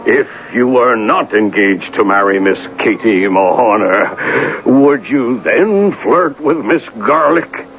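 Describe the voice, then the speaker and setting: Creaky, pompous, jealous fiancé. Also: male voice, medium pitch, animated, takes it slowly, few pauses. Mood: pompous, indignant. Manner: pompous, formal, indignant. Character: pompous, jealous, hot-tempered fiancé spoiling for a duel. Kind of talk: 1970s radio drama acting. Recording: muffled, noisy 1970s radio recording.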